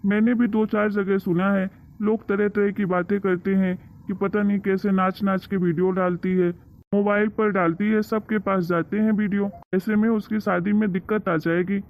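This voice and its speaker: A man's voice: sits high, little pitch movement, moderate pace, gravelly. A man voicing an anxious, strict father in a cartoon moral story, with an emphatic, admonishing manner. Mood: disapproving. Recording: thin, phone-like narrow sound.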